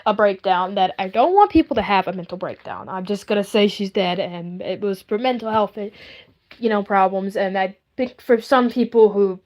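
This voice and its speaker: A woman's voice: mid-range voice, moderate pace, a little mellow. A young woman chatting casually on a live stream in a rambling, hesitant way, often repeating herself.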